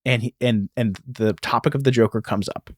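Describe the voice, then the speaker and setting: A man's voice: mid-range voice, fairly even intonation, brisk, a little hiss or hum. A man co-hosting a podcast, talking casually and explaining things, sometimes reading aloud and correcting himself as he goes. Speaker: podcast co-host.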